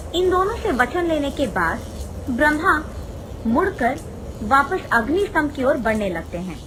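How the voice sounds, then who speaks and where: High-voiced woman narrating a devotional story. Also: noisy background. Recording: music behind the narration. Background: background music.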